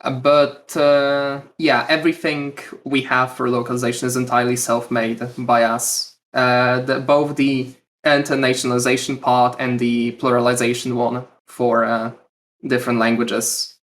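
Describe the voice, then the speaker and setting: A man's voice: mid-range voice, level tone, normal speed, fairly bright tone. A man, the lead developer guest on a podcast, speaking hesitantly with many fillers. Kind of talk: casual podcast interview.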